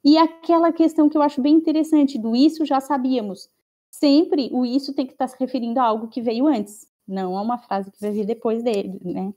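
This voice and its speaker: A woman's voice: sits high, steady tone, a little fast, mellow, lo-fi sound. A woman with a Brazilian Portuguese accent teaching an online class, explaining patiently and checking in with her students often.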